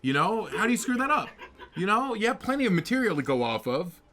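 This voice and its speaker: Male podcast co-host, young, bright forceful voice, lively swinging pitch, rambling comic exaggeration. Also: fast talker, a little hiss or hum. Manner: animated, rambling, jokingly exaggerated. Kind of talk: casual comedic banter about films and games.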